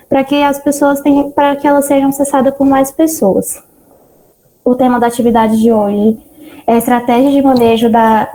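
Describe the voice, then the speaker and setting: A woman's voice: fairly high pitch, warm tone, rough recording. A woman with a Brazilian accent, formally introducing a speaker as moderator of an online meeting over a video call.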